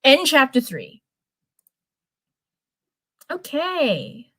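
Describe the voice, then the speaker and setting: A woman's voice: high-pitched, huge pitch swings, drawn-out words, a bit bright, no echo, studio quality. Woman casually reading a story aloud. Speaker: live streamer reading fanfiction. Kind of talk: casual live read-aloud of a story with chatty asides.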